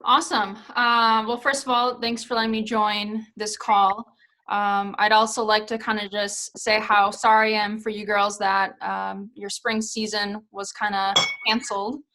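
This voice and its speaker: A woman in her thirties talks to a team over a video call in a relaxed, chatty way, full of little fillers and hedges. Her voice is bright and forward, at an ordinary middle pitch for a woman. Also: measured pace, poor audio. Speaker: guest speaker, former pro soccer player. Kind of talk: casual talk on a video call.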